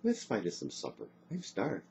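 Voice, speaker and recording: A man's voice: mid-range voice, huge pitch swings, moderate pace, dark tone, slightly rough, pitched above the performer's own voice, exaggerated voice. A man voicing a bold, teasing young Celtic maid in archaic dialect for a storybook. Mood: frank, friendly.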